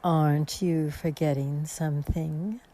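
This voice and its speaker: Lady doing a cold veiled woman, low mellow voice, slow and measured, radio drama. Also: fairly even intonation. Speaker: actor.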